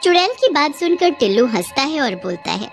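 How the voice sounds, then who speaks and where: A woman's voice: high-pitched, very animated, creaky, faint music behind. A woman storyteller delivering scripted narration for a tale.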